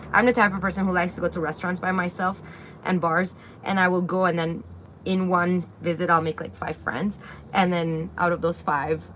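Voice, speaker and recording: A woman's voice: fairly low pitch, background noise. Chatty young woman livestreaming outdoors. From Syria. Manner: chatty, reads viewer comments aloud, many fillers. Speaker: livestream host. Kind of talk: casual livestream Q&A. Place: outdoor balcony with greenery. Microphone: phone camera at arm's length.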